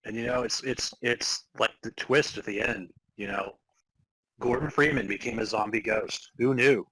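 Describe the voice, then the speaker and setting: A man's voice: mid-range voice, steady tone, moderate pace, sharp tone, breathy edge, no room sound, low-quality recording. A man co-hosting a comedy podcast, alternating mock-dramatic story narration with casual chat. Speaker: podcast co-host.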